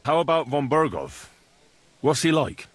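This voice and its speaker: An actor playing an earnest, persistent young squire with a husky voice in a medieval video game. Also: male voice, a little high, very animated, measured pace, dry recording. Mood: respectful. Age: young adult. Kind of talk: video game dialogue acting.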